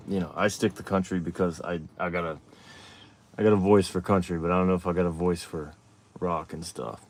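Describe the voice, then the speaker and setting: A man's voice: deep voice, dry recording. A man, chatting casually as host of his own livestream, rambling and reading viewer questions aloud. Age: 30s.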